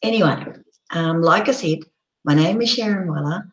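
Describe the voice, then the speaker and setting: A woman hosting a casual live broadcast, chatting loosely with many ums and restarts, her voice croaky and hoarse. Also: on the low side, moderate pace, roomy sound. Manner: rambling, lots of ums and restarts. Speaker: live-stream host. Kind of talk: casual live-stream chat.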